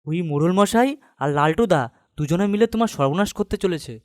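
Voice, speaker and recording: A man's voice: high voice, lively intonation, fast talker, no room sound, clean studio sound. A man acting a loyal, righteous villager in a cartoon, speaking in an earnest, urgent manner. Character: loyal, righteous young villager warning his friend. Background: music.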